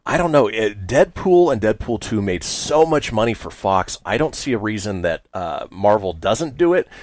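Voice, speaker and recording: Male radio show host, animated and emphatic with chatty asides, recorded dry and close. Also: low voice, dry recording. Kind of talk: upbeat radio show hosting. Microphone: close studio radio mic.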